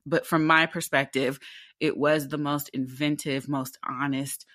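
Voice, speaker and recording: A woman in her twenties speaks in a deep, low alto, her pitch sitting near the bottom of the range. She talks candidly and with animation, firing off rhetorical questions, and the sound is dry and close with no room echo. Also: fairly even intonation, measured pace, a bit bright. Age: 20s. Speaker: interview guest, theatre actor. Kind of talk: casual interview conversation.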